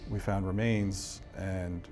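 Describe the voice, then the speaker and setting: A man as an interview guest recounting a personal ordeal in a halting, restrained way. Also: low voice, fairly even intonation, darkish tone, soft background music.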